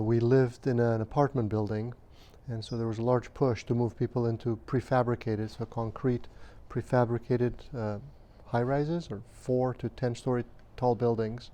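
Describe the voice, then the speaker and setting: A man in his fifties from Romania, recalling his childhood in an interview at a measured, hesitant pace with frequent pauses and fillers. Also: mid-range voice, fairly even intonation, dark tone. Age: 50s. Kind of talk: recounting childhood memories.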